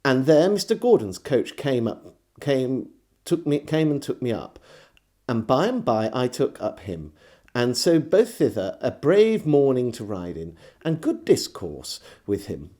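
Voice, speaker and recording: Expressive male storyteller, lively pitch. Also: unhurried. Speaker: storyteller reading a historical diary aloud. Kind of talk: scripted storytelling narration.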